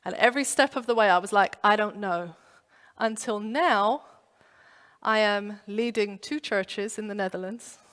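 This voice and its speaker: Woman, pastor, animated sermon, mid pitch, echoing hall. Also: measured pace. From London. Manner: animated storytelling with acted-out inner voices. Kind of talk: youth sermon. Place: church.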